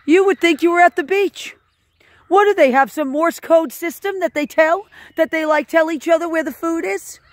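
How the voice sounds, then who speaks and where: A woman's voice: extremely high pitch, normal speed, no room sound. A woman filming a casual outdoor vlog, exclaiming in amazement and repeating her phrases as she narrates to viewers. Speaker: animal sanctuary host filming a vlog. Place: outdoors at a rural animal sanctuary.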